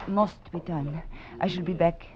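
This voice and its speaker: A woman's voice: on the low side, steady tone, a little slow, noisy background, natural voice. An actress playing an anxious, evasive French woman coaxing her lover, in theatrical golden-age radio drama style. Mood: uneasy, urgent. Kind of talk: 1940s-50s American radio drama acting. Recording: muffled vintage radio recording.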